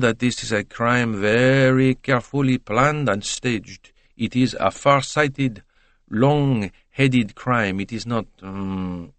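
Polite precise detective played by a man, formal, measured, dry recording. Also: mid-range voice, a bit bright, smooth, no echo. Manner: courteous, measured, formal phrasing. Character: precise, polite middle-aged male detective.

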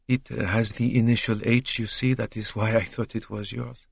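A man doing a gently probing courteous detective. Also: fairly low pitch, normal speed, dry recording. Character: calm, courteous middle-aged male detective.

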